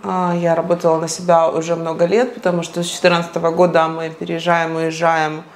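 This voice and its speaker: A woman in her forties from eastern Ukraine, a psychologist giving a reflective documentary interview in her apartment on a lapel mic, pausing often to search for words. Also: fairly low pitch, monotone. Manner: thoughtful, searching for words with many fillers. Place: apartment living room, indoors.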